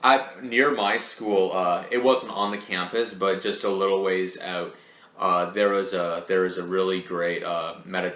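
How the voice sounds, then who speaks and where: A man's voice: sits low, flat delivery, unhurried, fairly bright tone. A young man chatting casually as a podcast guest, easygoing and joking. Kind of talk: casual chat. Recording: echoey room.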